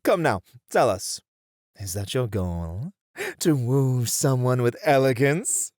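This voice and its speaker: A man voicing a poised, courteous and patient etiquette teacher in an ASMR roleplay, speaking in a measured, formal, theatrical manner. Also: medium pitch, expressive, darkish tone, no echo, studio quality. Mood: encouraging.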